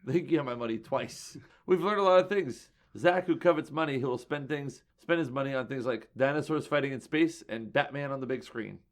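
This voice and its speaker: A man's voice: medium pitch, a little fast, hardly any pauses. A man co-hosting a casual comics podcast, joking and teasing his partner. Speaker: podcast co-host. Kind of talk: casual chat.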